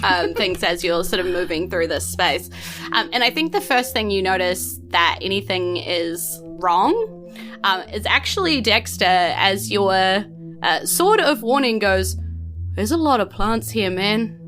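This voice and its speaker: A woman with a bright, forceful voice and a New Zealand accent runs a tabletop game as game master. She improvises her narration in a playful, informal way, with faint music behind her. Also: medium pitch, animated, measured pace.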